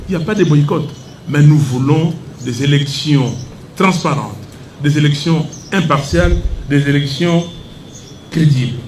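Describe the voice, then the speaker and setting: A man's voice: fairly high pitch, measured pace, a little mellow, a little hiss or hum. An older Congolese man whose first language is Lingala, answering a press conference question in an indignant, emphatic way. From Democratic Republic of the Congo. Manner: indignant, emphatic, switches between French and Lingala. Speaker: opposition politician at press conference.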